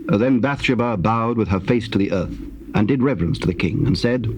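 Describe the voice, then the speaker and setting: A man's voice: lowish voice, lively intonation, a little fast, noisy background. A man narrating scripture in a solemn, scripted style on an old cassette recording.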